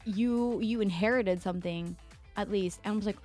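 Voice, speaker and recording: A young woman from the Philippines talks casually on a desk mic, rambling with fillers and frequent laughs as she answers her viewers. A lingering sore throat keeps her voice low. Faint music plays underneath. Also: moderate pace, a little mellow. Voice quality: mezzo-soprano singing voice. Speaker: streamer. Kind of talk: casual chat with chat readers.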